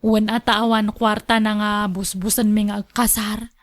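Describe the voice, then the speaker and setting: An actress with an Ilocano accent playing a coy, hardworking young village woman with hesitant, drawn-out interjections in a radio drama. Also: medium pitch, little pitch movement, close to an everyday voice. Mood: resigned.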